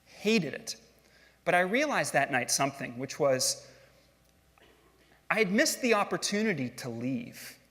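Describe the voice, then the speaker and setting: A man's voice: a little high, very animated, measured pace, pauses often, a bit bright. A middle-aged man giving a storytelling stage talk in a conversational, self-deprecating way, asking the audience questions. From grew up in Switzerland and California. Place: large hall on stage. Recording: long hall reverb.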